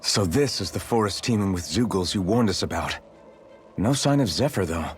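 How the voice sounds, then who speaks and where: A man's voice: low voice, expressive, normal speed, soft background music. A man playing an earnest, patient young fantasy hero in a video game. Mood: wary. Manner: earnest, conciliatory.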